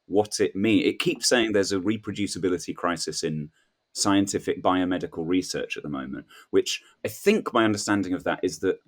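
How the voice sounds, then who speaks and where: Male comedian guest, low register, casual, tentative, questioning delivery with acted-out asides. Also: a little fast. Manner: hesitant, questioning, acts out imagined remarks. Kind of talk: casual comic chat.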